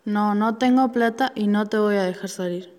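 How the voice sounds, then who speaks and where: Woman acting as firm mum, dry manner, radio drama, mid pitch, echoey. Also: moderate pace, a little mellow, close to an everyday voice. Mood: wry, firm. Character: firm, wry mother of a schoolgirl. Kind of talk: amateur radio play acting. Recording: echoey recording.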